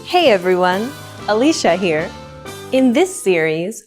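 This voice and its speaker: A woman presenting a scripted English lesson, clearly and brightly modeling example phrases for learners. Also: mid-range voice. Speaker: English teacher.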